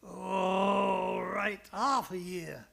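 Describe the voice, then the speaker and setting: A man playing a fussy, shrewd elderly professor in a radio drama, speaking deliberate broken English with dropped articles. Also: sits high, fairly even intonation, gravelly, fairly bright tone, heavily acted. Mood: exasperated. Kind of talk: old-time radio drama acting.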